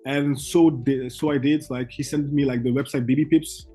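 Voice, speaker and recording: A young man from Belgium, a guest trader, telling his story in halting English full of fillers and restarts. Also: medium pitch, steady tone, dark tone, soft background music. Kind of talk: casual interview chat.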